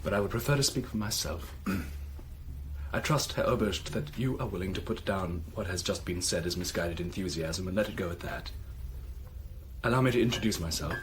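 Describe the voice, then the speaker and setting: An actor playing a proud, disgraced German officer in a radio drama, measured and formal with weary, dry sarcasm. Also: male voice, sits low, fairly bright tone, a little hiss or hum. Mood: cool, formal.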